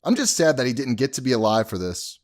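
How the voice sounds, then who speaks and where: A man plays an anxious young groom in an audio drama. His medium-pitched voice moves with lively inflection. He is earnest and a bit exasperated. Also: fast, natural voice. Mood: nervous, wry. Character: anxious, earnest young groom who runs a funeral home.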